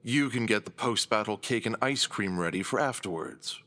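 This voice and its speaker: A man acting a cold, commanding crime boss in an audio drama, measured and imperious. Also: on the low side, expressive, bright, forceful tone. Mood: curt, commanding. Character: cold, commanding male former syndicate boss.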